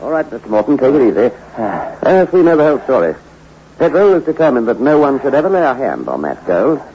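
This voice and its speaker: An actor playing a grave, measured mission hospital man in a sombre 1960s radio drama. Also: mid-range voice, sing-song, a little hiss or hum. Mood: grave, calm. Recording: dull old radio recording.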